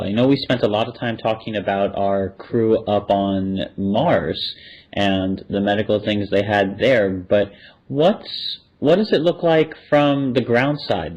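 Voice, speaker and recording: A man with a fairly low voice hosts a podcast. He speaks conversationally, and the recording is a little dull. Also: darkish tone. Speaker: podcast host. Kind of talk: conversational hosting. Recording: dull lo-fi audio.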